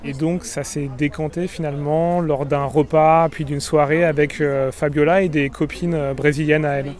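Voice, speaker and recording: A man in his early thirties from France telling how he met his partner in a relaxed, conversational way, outdoors in a city. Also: a little high, moderate pace. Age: 30s. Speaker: interviewee.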